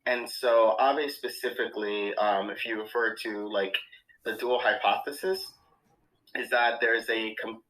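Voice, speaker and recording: A man who studies language, explaining calmly in an interview with many pauses. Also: fairly low pitch, poor audio. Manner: measured, thoughtful, with many ums. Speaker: language expert.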